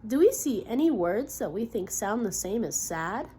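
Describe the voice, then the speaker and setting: A woman reading a picture book aloud as a teacher, expressively, pausing to ask questions and confirm answers. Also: medium pitch, sing-song, dark tone, breathy edge. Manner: instructive, checking in with listeners by questions and affirmations. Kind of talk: interactive children's read-aloud, expressive storybook narration with questions. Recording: noticeable background noise.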